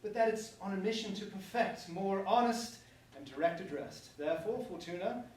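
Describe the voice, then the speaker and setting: A man's voice: high voice, normal speed, a little mellow, close to an everyday voice. A man playing a grandiose, lovestruck young prince in a staged verse comedy, with formal theatrical declamation. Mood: tender, earnest. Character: pompous young prince, grandiose, softened by love. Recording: very echoey room, distant mic, faint noise.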